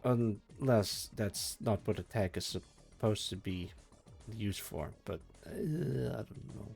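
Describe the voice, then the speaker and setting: A sleepy man chatting casually and mumbling to himself on a live stream while he works, with energetic music faintly behind him. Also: on the low side, slow, creaky. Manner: soft, meandering, mumbles to himself, trails off. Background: faint energetic soundtrack music.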